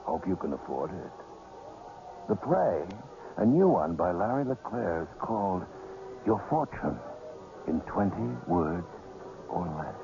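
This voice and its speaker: An actor playing a sinister, sardonic horror anthology host on radio, speaking slowly with theatrical menace. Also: male voice, low-pitched, very animated, creaky, noisy background, full character voice. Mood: sardonic, teasing. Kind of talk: 1980s horror radio hosting. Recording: muffled old radio broadcast.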